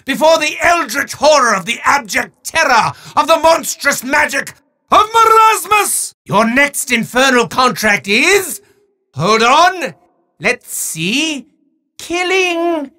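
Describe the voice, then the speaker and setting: Evil wizard for a game: high, piercing, forceful delivery, hammy and grandiose, maniacal villain with chatty asides. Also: male voice, heavily acted. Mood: menacing, theatrical. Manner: grandiose, theatrical, booming declarations dropping into fast asides. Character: bombastic, maniacal, hard-up male evil wizard. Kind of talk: theatrical video game villain voice lines.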